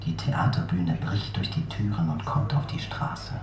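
A man's voice: low-pitched, steady tone, normal speed, a little mellow, close to an everyday voice. An actor playing a grave male commentator, declaiming bleak aphorisms in a radio play monologue. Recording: echoey space with clear background noise.

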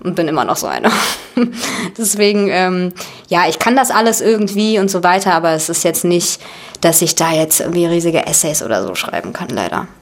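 A woman in her twenties from Germany talks in a relaxed, chatty way. Her voice sits a little low. She often restarts her sentences as she talks through an interview. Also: normal speed. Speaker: actress and guest. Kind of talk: casual chat.

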